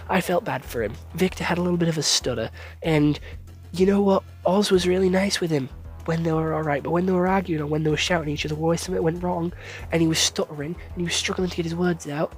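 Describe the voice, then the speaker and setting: A man giving a casual, rambling series review. He swears often, gets excited, and stumbles over words at times when he talks fast. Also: a little high.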